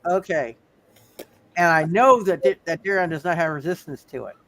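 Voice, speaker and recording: A man with a high-pitched voice runs a tabletop roleplay game as game master. He talks casually and haltingly, often repeating phrases as he works out the next move. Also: a bit bright, slight background noise.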